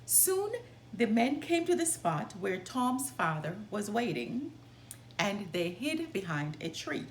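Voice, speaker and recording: A woman reading a children's story aloud as a storytime host, with expressive, deliberate delivery. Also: mid-range voice, slight background noise, low-quality recording.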